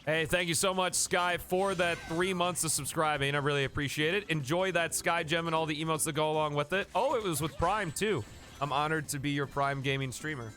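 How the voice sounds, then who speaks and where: A man's voice: fairly high pitch, fairly quick, sharp tone, faint music behind. A man live-streaming a game, chatting casually and thanking viewers by name, a bit sniffly from a coming cold.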